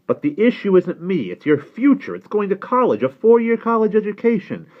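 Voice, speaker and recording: A man's voice: high voice, sing-song, brisk, voice pushed up. A man plays an exasperated uncle arguing in a dramatic reading. His raised voice climbs and dips with insistent, pointed questions and a defensive edge. The recording is slightly dull. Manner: argumentative, rhetorical questioning, insistent. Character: exasperated father, defensive, pleading with his nephew.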